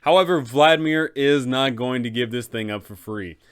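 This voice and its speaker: A man's voice: medium pitch, moderate pace, smooth. A man hosting a game master guide, talking in an enthusiastic, casual way. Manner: enthusiastic, upbeat. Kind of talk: casual tutorial narration.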